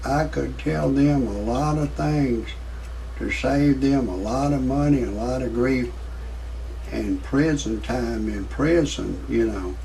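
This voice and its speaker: A man in his sixties giving rambling, off-the-cuff commentary in a folksy, repetitive, halting way, as an amateur video host. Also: medium pitch, gravelly, warm tone, far from the mic, a little hiss or hum, low-quality recording. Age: 60s.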